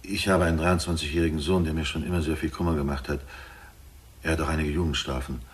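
A man's voice: very deep voice, steady tone, fast talker. A man in a German radio drama playing a troubled middle-aged father of a criminal, speaking in a grave, probing, reproachful way. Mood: grave confession.

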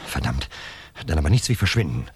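Nervous accomplice, very husky, quick and lively delivery, low pitch, 1970s radio crime drama. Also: male voice, heavily acted. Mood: nervous, urgent. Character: nervous, impatient male lover and accomplice in a murder plot. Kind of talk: 1970s German radio play acting.

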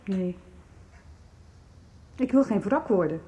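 A woman's voice: medium pitch, lively intonation, slow, dark tone. An actress in a radio soap playing an earnest, vulnerable young woman. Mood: frightened, sad. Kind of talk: 1990s radio soap acting.

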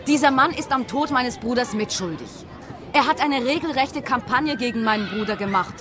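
An agitated, reproachful teacher in a radio mystery, acted by a woman. The voice is somewhat high, with clipped and precise indignation, and a crowd is audible in the background. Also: animated, moderate pace, background noise, natural voice. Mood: indignant. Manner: curt, reproachful, precisely spoken. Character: agitated, indignant female teacher, a demanding client. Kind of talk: radio crime play acting.

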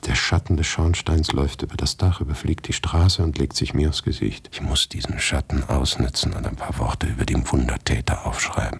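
An irritable restless writer in a radio play, super low husky voice, swings up and down a lot while narrating. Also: male voice, no room sound, exaggerated voice. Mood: tense, watchful. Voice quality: gravelly, husky. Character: restless, irritable male writer telling his own absurd story. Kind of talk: dramatic first-person radio play narration.

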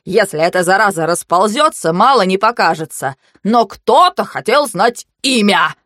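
A woman's voice: medium pitch, expressive, normal speed, no room sound, studio quality. A woman voicing a raging foul-mouthed young mage. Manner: ranting, yelling.

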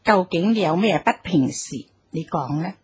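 An actress playing a commanding yet compassionate empress dowager in a Cantonese radio drama, formal and deliberate in manner. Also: female voice, on the low side, lively intonation, a little mellow, slightly rough. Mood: kindly, probing. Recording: music behind the voice.

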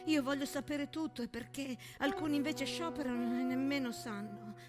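A woman's voice: high-pitched, steady tone, moderate pace, natural voice. A woman playing an earnest, disoriented young wartime woman, declaiming plaintively and emphatically in a theatrical monologue. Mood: agitated, plaintive. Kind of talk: theatrical monologue set to music. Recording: stage monologue with music behind.

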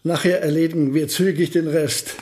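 An older actor in a German radio play playing a polite, wry, absent-minded elderly physics professor. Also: male voice, on the high side, steady tone, moderate pace, darkish tone, slight background noise, close to an everyday voice.

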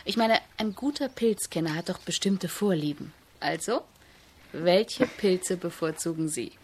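A woman's voice: on the low side, expressive, unhurried, slight background noise. Persistent, probing female journalist. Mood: probing, sceptical. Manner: matter-of-fact, pointed, articulate. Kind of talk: German radio drama acting.

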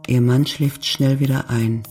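A man reading a scripted literary narration for an audio drama in a measured, descriptive way. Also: medium pitch, level tone, darkish tone. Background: music.

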